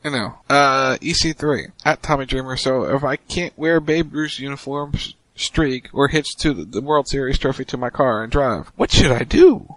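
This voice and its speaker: A man's voice: mid-range voice, moderate pace, few pauses, no room sound, poor audio. Easygoing guy reading tweets with jokey asides, dry mic, mid voice. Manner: relaxed, joking asides between quotes. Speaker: podcast co-host. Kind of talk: casual chat reading tweets aloud.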